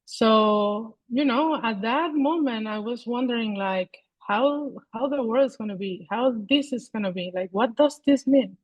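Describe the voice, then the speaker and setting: Venezuelan woman, halting thoughtful speech. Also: on the high side, no echo, highs cut off. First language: Spanish. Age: 40s. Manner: thoughtful, halting, many restarts and fillers. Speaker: guest artist. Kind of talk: casual interview over video call. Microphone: video call.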